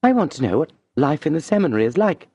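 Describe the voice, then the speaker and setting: A man reading an audiobook and voicing a tender, tearful woman who pleads softly and sounds anxious. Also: a little high, very animated, fast, husky, no echo, voice pushed up, full character voice. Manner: soft, pleading, emotional delivery. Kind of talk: audiobook reading of a classic novel.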